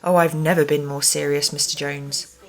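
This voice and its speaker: Formidable matron in charge , played by a woman, low register, clipped and officious, for a radio play. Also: level tone, moderate pace. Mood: smugly firm. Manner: formal, clipped, condescending. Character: stern, officious female care-home manager, smugly authoritative. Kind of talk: amateur radio play acting.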